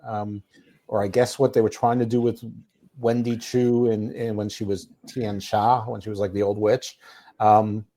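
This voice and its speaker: A man's voice: fairly low pitch, level tone, moderate pace, mellow. A man co-hosting a podcast, reviewing wrestling in casual chat, rambling with restarts and chuckles. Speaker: podcast co-host.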